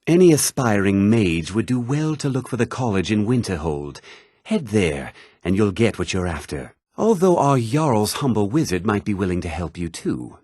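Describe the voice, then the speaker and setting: Husky, haughty fantasy official. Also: male voice, fairly low pitch, very animated, darkish tone, no echo, clean studio sound. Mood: courteous, businesslike. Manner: formal, measured, precise, condescendingly polite. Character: haughty, formal middle-aged mage and official, courteous but easily exasperated. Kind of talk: fantasy video game dialogue.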